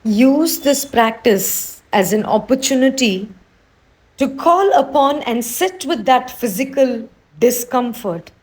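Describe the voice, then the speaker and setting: A woman with a fairly high voice guides a meditation very slowly. She gives gentle instructions in a soothing manner, as a teacher leading a practice. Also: lively intonation.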